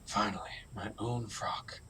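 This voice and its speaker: A man's voice: fairly low pitch, fairly even intonation, measured pace, very noisy, close to an everyday voice. A man playing a self-assured new doctor with a wry, smug manner in a radio drama. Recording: echoey, distant from mic, music underneath.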